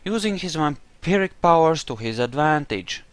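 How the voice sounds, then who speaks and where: A man's voice: fairly high pitch, lively intonation, normal speed, clear, resonant tone, dry recording. A man giving casual commentary while he plays through a video game in a roleplaying style. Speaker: gamer doing a roleplay playthrough.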